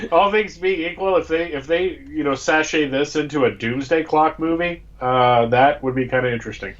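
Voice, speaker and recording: A man's voice: a little high, normal speed, fairly bright tone, muffled recording. An American man co-hosting a casual live fan chat, his voice congested from a cold. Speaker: co-host.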